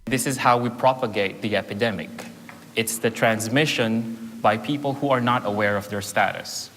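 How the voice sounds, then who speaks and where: A man's voice: on the low side, moderate pace, a bit bright, a little hiss or hum. A man explaining things conversationally in a documentary interview. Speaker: conference participant. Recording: reverberant hall with background ambience.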